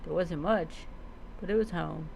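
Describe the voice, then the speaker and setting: Slow-spoken woman storyteller over noise. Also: fairly low pitch, creaky, a little mellow, low-quality recording. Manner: halting reading with reminiscing asides. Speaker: roleplay streamer. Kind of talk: in-character storytelling, reading a story aloud.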